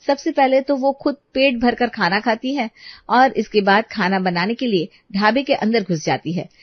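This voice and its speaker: High-pitched woman narrating a story. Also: moderate pace, no room sound, dull audio.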